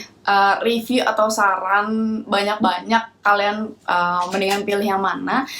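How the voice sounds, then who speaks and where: A woman's voice: medium pitch, a bit bright. Stuffy-nosed young woman chatting casually. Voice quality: nasal. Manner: chatty, mixes English phrases, many fillers. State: stuffy nose from a cold. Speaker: plant care vlogger. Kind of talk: casual how-to chat.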